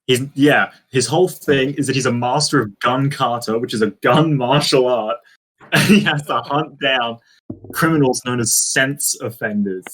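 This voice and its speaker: A man's voice: medium pitch, moderate pace, a bit bright, husky, rough recording. A man co-hosting a podcast in a casual film chat, speaking in an enthusiastic, emphatic way. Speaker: podcast co-host. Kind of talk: casual group chat about films.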